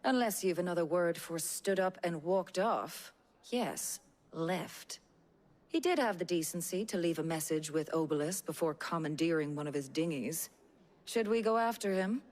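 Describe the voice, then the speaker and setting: An actress playing a stern, dryly sardonic healer in a fantasy video game. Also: female voice, on the low side, animated, a little mellow, natural voice. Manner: sardonic, brisk, disapproving. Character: dry, stern woman healer in a fantasy hideaway. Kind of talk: cinematic video game acting.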